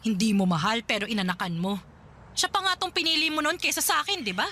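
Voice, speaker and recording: A woman acting a sharp-tongued young woman who teases and scolds her ex sarcastically in a radio drama. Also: fairly high pitch, fast. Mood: sarcastic. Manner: sarcastic, teasing.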